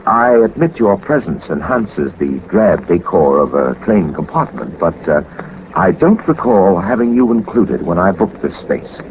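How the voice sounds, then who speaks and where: An actor playing a suave, methodical gentleman detective in 1940s radio drama style, urbane and theatrical, with wordy, dry self-possession. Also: sits low, very animated, measured pace. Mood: dryly amused. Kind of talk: 1940s radio drama acting, first-person narration. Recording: muffled, noisy old 1940s radio recording.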